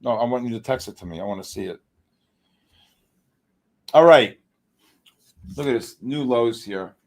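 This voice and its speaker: A man's voice: medium pitch, normal speed. A middle-aged man hosting a live trading stream, joking and hyping up his viewers. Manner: excitable, joking, hypes viewers. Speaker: livestream host.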